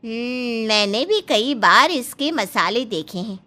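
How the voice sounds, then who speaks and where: A high-voiced, suspicious mother-in-law of middle age played by a woman in a cartoon family drama. She questions everything theatrically, with big swings in pitch and a bright, forceful tone. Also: lively intonation, slow, full character voice. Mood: suspicious, nosy. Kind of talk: animated family story dialogue.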